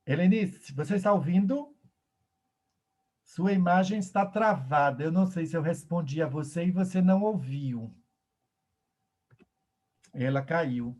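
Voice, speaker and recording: A man's voice: a little high, measured pace, pauses often, a little mellow. A man, a professor moderating an online discussion over a video call, speaking reflectively with hesitations and repeated words.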